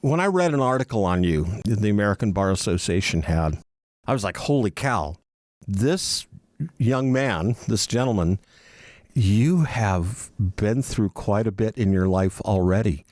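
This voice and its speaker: A man's voice: fairly low pitch, expressive, a little slow, a little mellow, no room sound. A man hosting a studio podcast interview, asking friendly and enthusiastic questions at the podcast table. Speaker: podcast host.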